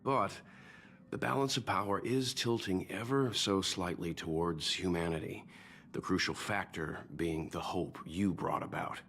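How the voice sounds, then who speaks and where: An actor playing a wise, encouraging older mentor in a video game, measured, reflective and reassuring. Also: male voice, low voice, creaky, a bit bright, a little hiss or hum, exaggerated voice. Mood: earnest, encouraging. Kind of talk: scripted video game dialogue. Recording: faint music underneath.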